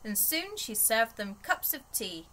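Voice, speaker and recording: A young woman reading a rhyming picture book aloud to small children in an expressive storytelling manner. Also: fairly high pitch, measured pace. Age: young adult. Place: small bedroom, indoors.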